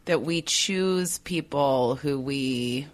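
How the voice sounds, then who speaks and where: A woman's voice: extremely low pitch, extremely slow. A woman with a Minnesota accent hosting a conversational podcast, playful and self-deprecating. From Minnesota, United States. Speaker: podcast host.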